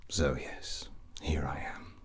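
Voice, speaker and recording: A man playing a drunken, brooding, scheming fantasy prince in a whispered ASMR roleplay, halting and musing with a breathy, hushed voice. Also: close to an everyday voice. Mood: quietly scheming. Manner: slow, halting, musing, with long pauses.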